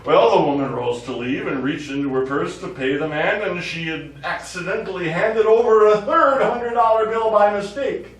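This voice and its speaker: A crass, tipsy lawyer, played on stage by a man with a fairly high voice. He tells his stories in a pushy, theatrical way and brushes objections aside. The sound is very echoey, picked up from far off in a small theatre. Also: normal speed, few pauses, close to an everyday voice. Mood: smug, jocular. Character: crass, boozy, joke-telling male lawyer who ignores objections. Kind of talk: live stage play acting. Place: small theatre studio, distant microphone.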